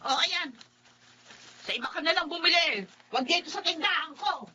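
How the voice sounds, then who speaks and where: A woman's voice: very high-pitched, expressive, normal speed, bright, forceful tone, exaggerated voice. A woman playing a brash, mocking market vendor with a dismissive manner in a Filipino radio drama. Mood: scornful. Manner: sneering, dismissive. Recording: muffled radio-drama audio.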